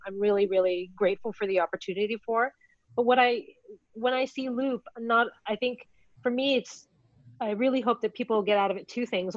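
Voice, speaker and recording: A woman film producer giving a thoughtful interview answer in an earnest, halting way, on dull lo-fi audio. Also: mid-range voice, dry recording.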